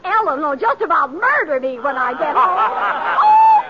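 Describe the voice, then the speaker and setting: An actress playing a flustered, folksy small-town matron calling a charity auction in an old radio western. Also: female voice, high-pitched, wildly swooping pitch, normal speed. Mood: bubbly, excited. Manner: coaxing, exclamatory, folksy. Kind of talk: 1940s-50s radio western acting. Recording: dull old radio recording, crowd behind.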